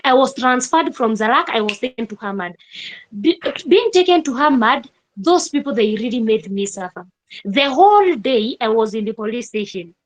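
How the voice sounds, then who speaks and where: A young Kenyan woman telling a personal story in a vlog, with animated emphasis and repeated rhetorical questions. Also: on the high side, normal speed, poor audio. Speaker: vlogger.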